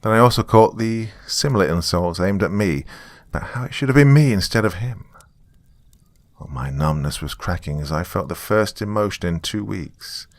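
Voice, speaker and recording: Male narrator for scripted horror fiction: low register, very dry recording, dramatic first-person read. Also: lively intonation, moderate pace, dry recording.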